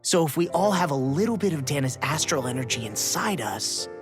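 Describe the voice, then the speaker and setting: A man's voice: on the high side, very animated, fairly quick. A man playing a sincere, loyal and slightly bashful young fighter in a video game cutscene. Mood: sincere, resolute. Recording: music behind the voice. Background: music.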